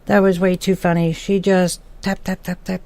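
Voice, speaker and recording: A woman's voice: fairly low pitch, little pitch movement, moderate pace, vocal fry, mellow. A woman, middle-aged or older, chatting casually as a podcast co-host. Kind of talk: casual chat. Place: home studio.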